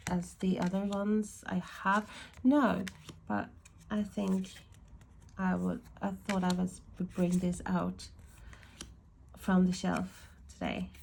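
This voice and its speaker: A woman from Ireland with a Swedish-tinged accent, chatting casually in a slow, thoughtful, meandering way as she reviews tarot and oracle decks at home. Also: on the low side, creaky, a little mellow, a little hiss or hum. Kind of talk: casual deck review chat.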